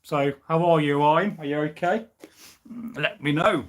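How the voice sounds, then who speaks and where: A young man from the UK hosting a loose, unplanned live stream in front of a camera on a tripod at home. His voice sits a little high, and he talks in a rambling, chatty way, full of ums, uhs and restarts. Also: a little slow, fairly bright tone, a little hiss or hum. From United Kingdom. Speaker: history channel host. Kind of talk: casual live stream chat.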